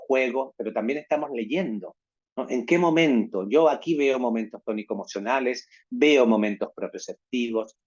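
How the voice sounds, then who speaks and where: Male lecturer on a video call, husky voice, dull muffled audio, asks "right?" a lot. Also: mid-range voice, darkish tone. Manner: peppers talk with tag questions. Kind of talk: online lecture to teachers.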